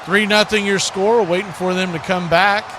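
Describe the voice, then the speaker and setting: A man's voice: sits high, fairly even intonation, moderate pace. A man doing live football play-by-play as an announcer, speaking in clipped phrases that build on big plays. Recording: clearly noisy live stream.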